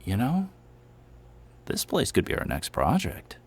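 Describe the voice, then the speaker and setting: An actor playing a cocky, casual and slick vacationer, a dismissive schemer, in a horror audio drama. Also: male voice, on the low side, huge pitch swings, unhurried, slightly rough, full character voice. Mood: scheming, smug. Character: cocky, skeptical male vacationer and scheming developer.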